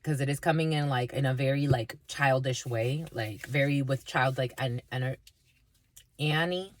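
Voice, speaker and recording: Woman, Spanish first language, spiritual reader, halting and repetitive, very low voice. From Dominican family, Spanish first language. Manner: halting, repetitive, many fillers. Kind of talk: intuitive reading to viewers.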